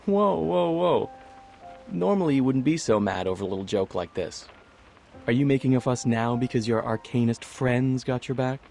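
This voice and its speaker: A man's voice: mid-range voice, animated, moderate pace, creaky, a little mellow, faint music behind. A man voicing a taunting, smug young student who needles people in a mocking way, in a video game drama.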